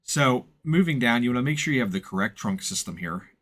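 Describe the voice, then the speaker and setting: A man narrating an informal software walkthrough in a plain-spoken, explanatory way, hedging as he goes. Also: medium pitch, animated, fairly quick. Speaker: tutorial presenter and firefighter.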